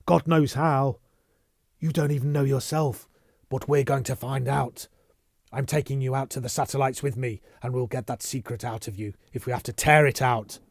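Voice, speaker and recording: A man's voice: mid-range voice, fairly even intonation, moderate pace, no echo, studio quality, close to an everyday voice. A man voicing a savage, cold, menacing male interrogator in a storybook reading. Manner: savage, pounding.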